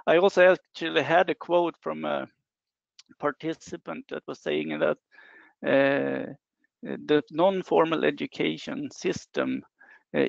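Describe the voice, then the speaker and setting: A middle-aged man from northern Sweden with a Swedish accent, a university lecturer giving an online webinar presentation, measured and hesitant with frequent fillers. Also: fairly high pitch, gravelly, dry recording. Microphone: computer mic on a video call. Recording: dull lo-fi webinar audio.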